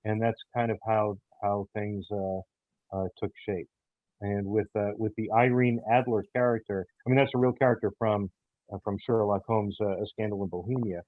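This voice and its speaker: A man speaking haltingly as he explains his writing in a casual interview, pausing often mid-sentence. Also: on the low side, fairly even intonation, dry recording. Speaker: writer and creator of an audio theater show. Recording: thin, phone-like call audio.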